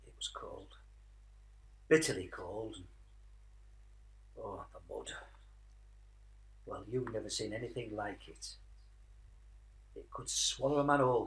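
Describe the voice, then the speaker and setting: An actor playing a weary, tender British father who fought in the trenches, speaking reflectively in a radio play with dramatic pauses. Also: on the low side, natural voice, rough recording.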